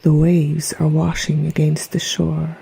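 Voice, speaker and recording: A woman narrating a guided meditation in a soft, breathy, half-whispered voice with a gentle, soothing, hypnotic manner. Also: deep voice, monotone, a little slow, poor audio. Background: relaxation music.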